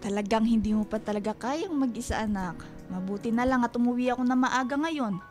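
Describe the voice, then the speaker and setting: A woman played by an actress as a proud, self-reliant mother in a radio drama. Her voice is high and chiding, fond underneath, with faint music behind. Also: fairly quick, close to an everyday voice. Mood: firm, motherly. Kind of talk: Filipino radio drama acting.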